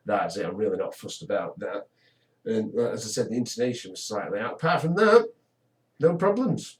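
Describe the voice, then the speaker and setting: A man's voice: lowish voice, darkish tone. A young British man from the UK casually reviewing a guitar he bought, talking in a rambling, hesitant way full of restarts. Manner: rambling, hesitant, self-correcting. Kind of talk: casual product review vlog. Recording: voice a bit far from the mic.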